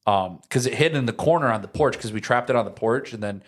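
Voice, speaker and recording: A man's voice: medium pitch, quick pace. A young American man chatting casually and telling childhood stories in slangy language, acting out moments as he goes. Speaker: podcast host.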